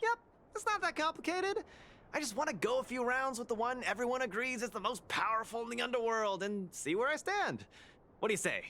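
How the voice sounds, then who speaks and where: A man's voice: very high voice, sing-song, brisk, bright, forceful tone, a little hiss or hum, exaggerated voice. A man voicing a cocky, friendly young male street fighter who talks brashly and upbeat, often laughing. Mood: brash cheerful. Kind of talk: studio video game voice acting. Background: game music.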